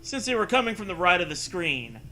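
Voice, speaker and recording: Bright-voiced man hamming up a story reading. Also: sits high, very animated, brisk, a little hiss or hum. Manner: hammy, breaks off to riff and joke. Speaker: co-host reading a story aloud. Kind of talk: comedic dramatic reading with casual asides.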